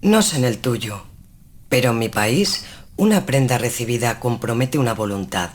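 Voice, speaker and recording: A woman playing a proud, cold young noblewoman in a gothic tale, haughty and deliberate in her formal speech. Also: extremely low pitch, lively intonation. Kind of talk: dramatised gothic tale acting.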